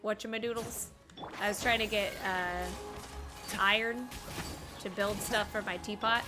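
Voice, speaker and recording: A woman's voice: mid-range voice, fairly even intonation, unhurried, music in the background. A woman giving casual, reactive live commentary as she streams a game. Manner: chatty, reactive.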